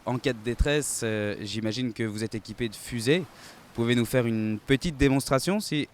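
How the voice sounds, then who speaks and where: A man's voice: medium pitch, moderate pace, slight background noise, close to an everyday voice. A man playing a courteous TV reporter asking formal questions outdoors in a comedy film. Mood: polite. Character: polite TV reporter man interviewing on a boat.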